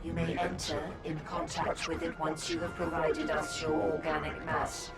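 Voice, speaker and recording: An actor voicing an inhuman hive-mind creature in a video game, coldly polite and formal, speaking as a collective, with a gravelly, echo-processed voice. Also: medium pitch, little pitch movement, normal speed. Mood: ceremonious. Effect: echoing monster voice processing. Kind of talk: video game villain acting. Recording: echoing, distant, under loud music.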